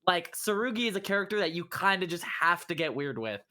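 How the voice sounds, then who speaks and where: A man's voice: high voice, big pitch swings, brisk, sharp tone. A man in casual banter as an esports commentator, slangy and teasing with his friends.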